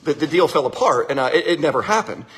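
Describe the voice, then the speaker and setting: Male storyteller as put-upon sales manager in a comic tale, man, husky, blustering. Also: high voice, lively intonation, very fast, pushed and bright, exaggerated voice. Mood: nervous, hesitant. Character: nervous, then indignant male sales manager, resentful underling.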